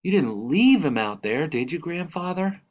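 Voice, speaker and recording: A man voicing an eager, blunt young grandson in a storybook, asking excitable questions, on a slightly dull recording. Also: a little high, normal speed, pitched above the performer's own voice. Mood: urgent, indignant.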